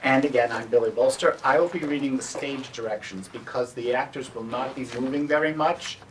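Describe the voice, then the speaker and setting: A man's voice: mid-range voice, fairly quick. Distant man reading stage directions. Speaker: stage directions reader and introducer. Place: library event room, staged reading. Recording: distant mic, noisy room ambience.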